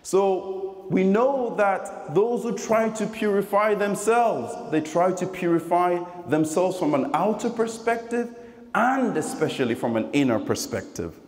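A man preaches in a measured, emphatic manner, repeating phrases for weight. His voice sits a little high and moves up and down in animated swings. It carries through a large indoor hall with long reverb. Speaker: preacher giving a Friday sermon. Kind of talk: sermon. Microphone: microphone on a stand.

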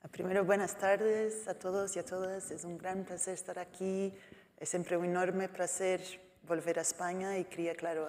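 A woman's voice: fairly low pitch, darkish tone. Portuguese-accented woman in a reverberant hall. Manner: halting, searching for words in a second language. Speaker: writer on a panel. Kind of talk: panel talk. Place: lecture hall.

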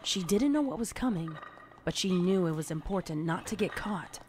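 A man's voice: high-pitched, lively intonation, moderate pace, darkish tone. A man reading scripted, dramatic narration of a horror story as its narrator. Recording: clear background noise and ambience.

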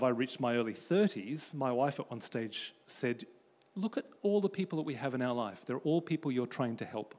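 A man from Australia giving a conference talk about faith and friendship, telling stories in an animated way as he moves around a large stage with a handheld mic. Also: on the high side. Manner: animated storytelling, pacing the stage. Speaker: conference speaker. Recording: long hall reverb.

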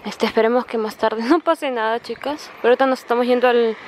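A woman's voice: on the high side, fast. A young woman chats casually and confidingly to her vlog viewers, often addressing them directly, into a camera at arm's length. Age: young adult. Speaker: vlogger. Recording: clear background noise, handheld camera.

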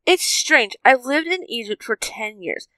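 Bright, high-voiced wistful heroine played by a woman. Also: animated, measured pace, no echo, low-quality recording. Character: Himiko, weary female hero ranger, wistful and grumbling. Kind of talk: audio drama character acting.

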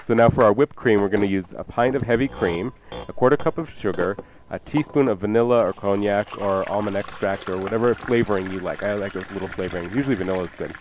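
A man's voice: lowish voice, fast, background noise. A man hosting a casual radio cooking show, conversational, with wry asides. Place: radio studio. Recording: music playing behind the voice. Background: music.

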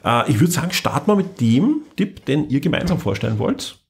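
A man's voice: a little high, very animated, brisk. A man hosting a podcast, moderating the chat in a hesitant way with many fillers. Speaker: podcast host.

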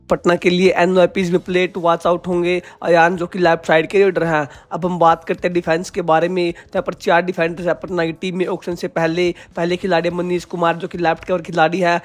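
A man's voice: high-pitched, no echo. A man gives a scripted voice-over about a sports auction, steadily explaining and addressing viewers as friends. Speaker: kabaddi video presenter.

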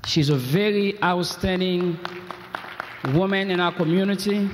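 A man's voice: high voice, unhurried, some chatter behind, slight background noise. A man presenting awards at a gala, reading citations formally with joking asides, and saying he is losing his voice. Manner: reads citations formally, with asides and self-deprecating jokes. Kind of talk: ceremony announcing and reading citations. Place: large convention hall. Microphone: podium microphone. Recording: echoey convention hall, 1990s video.

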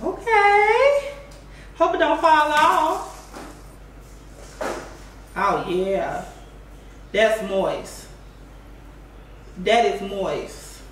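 A playful woman in her thirties chats through a home cooking vlog, her fairly high voice drawn out at an easy, unhurried pace. She talks straight to her viewers, breaking into sing-song exclamations. Her voice sounds roomy and a little distant, picked up by a camera across the kitchen. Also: a little hiss or hum. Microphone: far camera on tripod.

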